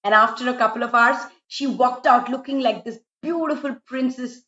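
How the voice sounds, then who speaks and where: A middle-aged woman tells a story for children in a dramatic, expressive way, with emphatic repetition. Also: fairly high pitch, moderate pace. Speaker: storyteller, host. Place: small room indoors at home. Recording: roomy, slightly dull home recording.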